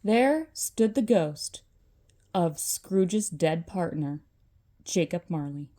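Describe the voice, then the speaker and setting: An older woman narrates a children's story. Her voice is mellow and she takes her time, reading aloud in a storyteller's style. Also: on the low side, expressive, slow pace.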